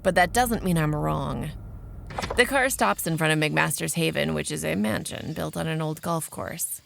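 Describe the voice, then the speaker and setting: Very creaky, sardonic vampire woman. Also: sits low, normal speed, a bit bright, a little hiss or hum, full character voice. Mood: wry, suspicious. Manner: wry, profane, conversational. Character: Aurora, sardonic young female vampire researcher narrating her exploits. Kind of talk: first-person audio drama narration and dialogue.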